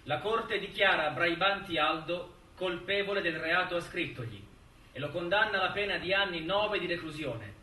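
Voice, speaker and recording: A man's voice: high voice, moderate pace, bright, forceful tone. An actor playing a stern, formal male judge, making solemn official proclamations in a stage drama. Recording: roomy, distant stage recording, faint noise.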